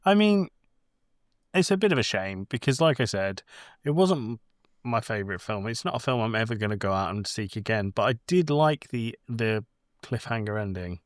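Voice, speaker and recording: A man's voice: lowish voice, lively intonation, moderate pace, no room sound, studio quality. A man co-hosting a film podcast, explaining things in an informal, rambling, sweary way. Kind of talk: casual film chat.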